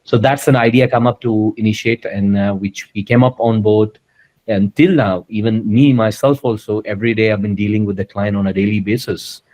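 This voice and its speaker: Low-voiced man, earnest and promotional. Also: steady tone, normal speed, darkish tone. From Dubai, UAE. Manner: earnest, repetitive, promotional, with many restarts. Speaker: business founder, interview guest. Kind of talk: conversational interview.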